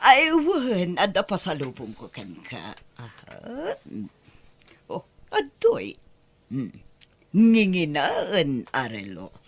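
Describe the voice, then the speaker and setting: A woman's voice: lowish voice, huge pitch swings, slow pace, full character voice. A woman acting a wealthy, doting, forward lady in an Ilocano audio drama, with a coquettish, sighing delivery. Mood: flirtatious, coaxing.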